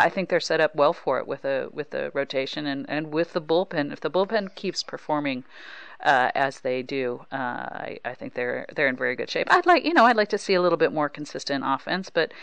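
A woman hosting a casual sports interview, chatting in a halting way with many false starts. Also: low voice, fairly bright tone, clear, resonant tone, dry recording. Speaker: podcast host, baseball writer.

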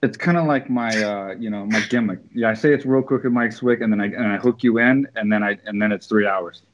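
A man talks in an easygoing, rambling way over a video call. His words come quickly. The audio sounds dull and lo-fi. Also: lowish voice, slight background noise. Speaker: podcast host, guest. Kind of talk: casual video-call chat.

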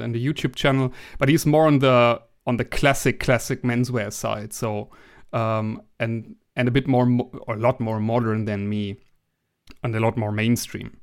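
A man's voice: mid-range voice, smooth. A young adult man from Germany with a German accent, a guest in a casual interview, talking hesitantly with many restarts and fillers.

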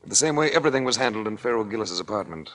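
An American actor playing a cool, wry investigator in a 1940s radio crime drama, with an unflappable, dryly sardonic manner. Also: male voice, lowish voice, lively intonation, racing, fairly bright tone, rough recording. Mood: coolly accusing. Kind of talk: 1940s radio detective acting. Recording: vintage 1940s radio recording.

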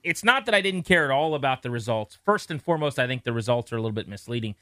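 Bright, forceful male sports podcast host. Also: mid-range voice, animated, fast talker, no room sound, clean studio sound. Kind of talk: conversational sports commentary.

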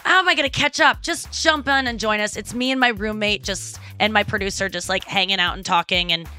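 Candid, bright-voiced woman comedian guest. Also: mid-range voice, brisk, soft background music. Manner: candid, self-deprecating, laughs easily. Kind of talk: casual interview chat.

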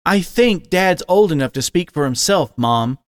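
A man's voice: fairly high pitch, lively intonation, normal speed, clean tone, no room sound, studio quality, pitched above the performer's own voice, close to an everyday voice. A man voicing a young adult son, a wolf living as a horse, who sounds hurt and defiant with a rising voice.

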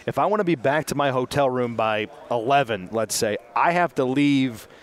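A man hosting an outdoor sports interview, asking questions and chiming in with quick affirmations. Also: medium pitch, lively intonation. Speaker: sports show host. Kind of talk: interview host. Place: outdoors at a city event.

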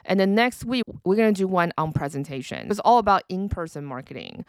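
A woman's voice: lowish voice, very animated, brisk, no room sound, clean studio sound. A woman originally from Taiwan, hosting a solo podcast episode in an upbeat, conversational way, in her forties. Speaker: podcast host.

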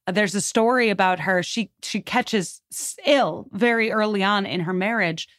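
A woman's voice: mid-range voice, normal speed, fairly bright tone, no echo. Woman storyteller, close dry recording. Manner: talkative, emphatic, rambling. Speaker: podcast guest. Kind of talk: animated conversational history storytelling.

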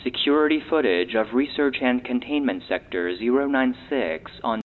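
A man playing an archive database that reads incident logs in an audio drama, formal, measured and impassive. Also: mid-range voice, lively intonation, narrow, tinny audio, lightly acted. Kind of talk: scripted narration in an audio drama.

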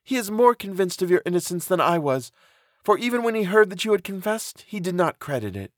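A man voicing a devoted, distressed young gentlewoman in an audiobook, with earnest, plaintive delivery and formal old-fashioned phrasing. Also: high voice, big pitch swings, fairly quick, no room sound, clean studio sound, voice pushed up. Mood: reassuring. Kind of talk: dramatic audiobook character reading.

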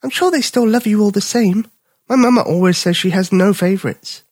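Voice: mid-range voice, brisk, darkish tone, dry recording, clean studio sound, pitched above the performer's own voice, lightly acted. A storyteller voicing a young male bear cub who is playful and kind. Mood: earnest. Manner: playful, earnest.

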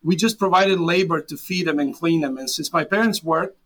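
Earnest Serbian-accented man, pitched slightly high. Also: fairly quick, a bit bright. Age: 40s. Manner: earnest, with frequent restarts and fillers. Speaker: guest. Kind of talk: interview conversation.

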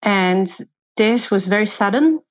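A woman's voice: medium pitch, animated. Woman telling her story unhurriedly. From Poland. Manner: thoughtful, unhurried, with small grammar slips. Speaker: guest, glacier guide. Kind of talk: casual personal account.

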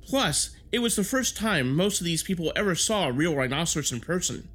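A knowledgeable history buff on a time-travel crew played by a man in an audio drama. His slightly high voice is informative and appreciative. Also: animated, a little fast, a bit bright.

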